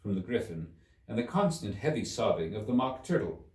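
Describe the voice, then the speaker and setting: Very animated man reading a storybook. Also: lowish voice, brisk. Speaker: story reader. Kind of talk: expressive scripted storybook narration. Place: room inside a museum. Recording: echoey room, distant mic.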